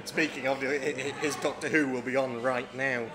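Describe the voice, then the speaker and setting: A man's voice: mid-range voice, fairly even intonation, normal speed, background noise. A man co-hosting a podcast, explaining things in casual, slightly hesitant chat. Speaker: podcast co-host. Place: pub in Brighton. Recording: busy pub crowd behind voice.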